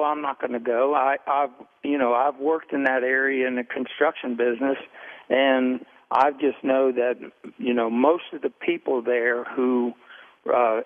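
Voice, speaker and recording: American man on a tinny phone line. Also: medium pitch. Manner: halting, hesitant, searching for words. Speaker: caller to a radio show. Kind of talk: unscripted phone-in opinion. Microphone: telephone.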